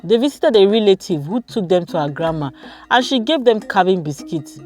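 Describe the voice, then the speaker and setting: A woman's voice: mid-range voice, expressive, moderate pace, darkish tone, soft background music. A woman narrating a scripted folktale for children as a storyteller.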